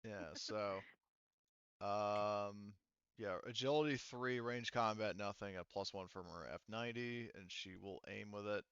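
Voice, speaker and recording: Smooth, low-voiced man running a tabletop game. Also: steady tone, unhurried, fairly bright tone, highs cut off. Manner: casual, profane. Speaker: game master. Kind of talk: improvised narration and rulings for a tabletop roleplaying game.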